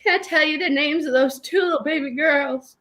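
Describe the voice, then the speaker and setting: A woman's voice: high-pitched, a little fast, pushed and bright. A woman playing a tearful, gushing, churchgoing grandmother as a guest on a comedy show. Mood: weepy gushing.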